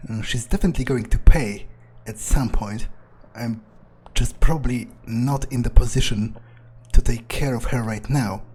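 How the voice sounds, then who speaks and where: A man plays a video game in character, thinking aloud in halting phrases peppered with swearing. His delivery is wry and understated, at a middling pitch, with game music clearly playing behind him. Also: darkish tone. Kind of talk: in-character playthrough commentary, muttered thoughts aloud.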